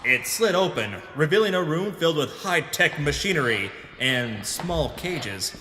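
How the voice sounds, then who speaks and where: A man's voice: on the high side, lively intonation, background noise. A man playing a pompous hard-boiled adventurer, narrating in the melodramatic style of a pulp radio serial. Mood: grave, suspenseful. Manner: melodramatic, grave, deliberate hard-boiled delivery. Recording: reverberant voice over background music.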